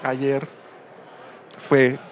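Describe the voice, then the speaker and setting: A man interviewing a guest in a casual tech chat, talking haltingly with many restarts and fillers. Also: medium pitch, completely flat delivery, slight background noise.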